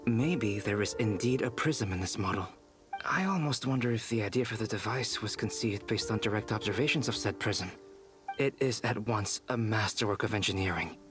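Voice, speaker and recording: A man playing a low-voiced, meticulous scholar. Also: close to an everyday voice. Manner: measured, precise, erudite. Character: calm scholarly man, meticulous analytical investigator. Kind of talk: scripted video game dialogue.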